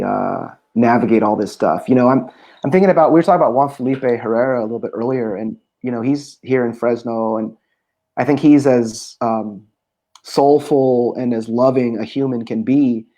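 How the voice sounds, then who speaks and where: A man, a poet being interviewed, speaking thoughtfully over a video call with frequent pauses and filler sounds. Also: mid-range voice, warm tone. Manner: thoughtful, hesitant with many pauses. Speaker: poet, guest. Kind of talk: casual conversation. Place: home room.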